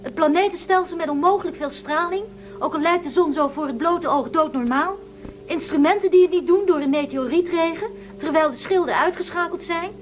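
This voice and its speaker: An actress playing a lively, headstrong young female space traveller in a science fiction radio drama, with an expressive, conversational delivery. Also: very high-pitched, fairly quick, highs cut off, soft background music. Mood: exasperated.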